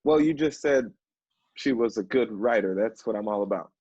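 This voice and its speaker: An actor playing an earnest, kindly, gently hesitant man working as a literary assistant in a stage play. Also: fairly low pitch, normal speed, slightly rough, dry recording, dull audio. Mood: eager, pleading.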